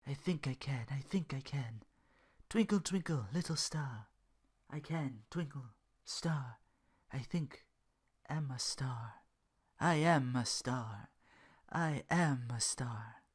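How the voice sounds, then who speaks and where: A woman's voice: fairly high pitch, very animated, moderate pace, a bit bright, voice pushed up. A woman doing a whiny, childish male servant. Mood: drowsy mumble. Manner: pleading, timid.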